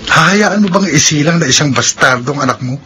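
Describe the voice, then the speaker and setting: An actor playing a devoted, resolute young suitor in a Filipino radio drama, tender and earnest. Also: male voice, a little high, animated, brisk, sharp tone, slight background noise.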